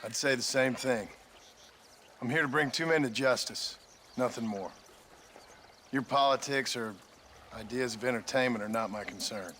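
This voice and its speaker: An actor playing a laconic, world-weary American gunslinger in a video game, deadpan and polite, with an American accent. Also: male voice, mid-range voice, moderate pace, long pauses, a little hiss or hum, natural voice. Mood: unruffled, firm. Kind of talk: cinematic game dialogue acting.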